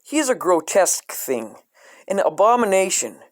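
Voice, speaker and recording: A man reading a dark comic coloring book aloud in a deadpan way, breaking off into profane asides. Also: high-pitched, normal speed, no room sound, studio quality.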